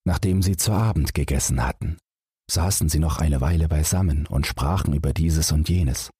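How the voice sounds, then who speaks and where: A man narrating a bedtime fairy-tale audiobook from a script, in a measured, expressive storytelling manner. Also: low-pitched, husky, dry recording, clean studio sound.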